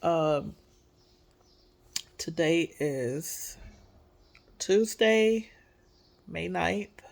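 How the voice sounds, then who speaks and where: Woman vlogger, stuffy nose, slow unhurried delivery, soft and hesitant with frequent ums. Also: on the low side, lively intonation, gravelly. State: congested. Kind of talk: casual personal update.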